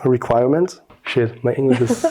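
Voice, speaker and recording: A man's voice: mid-range voice, normal speed, warm tone, husky. A young man from Austria with an Austrian German accent, a German speaker chatting easily as an interview guest. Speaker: guest influencer. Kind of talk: casual interview chat.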